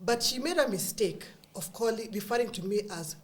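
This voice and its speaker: A woman's voice: on the high side, expressive, brisk. A middle-aged Kenyan woman with a Kikuyu first language, talking candidly as a guest, laughing often and restarting her sentences. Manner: earnest, frequent laughs and restarts. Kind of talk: candid conversational chat.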